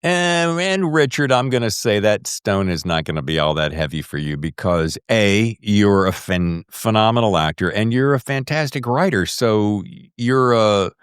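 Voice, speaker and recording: A man's voice: on the low side, animated, normal speed, hardly any pauses, smooth, dry recording, clean studio sound. A man hosting a conversational podcast interview, effusive, with rambling, winding questions. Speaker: podcast host.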